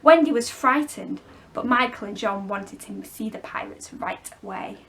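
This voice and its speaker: A woman storyteller reading a children's story expressively, with clear phrasing for young listeners. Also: a little high, moderate pace, a bit bright. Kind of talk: expressive scripted storybook narration.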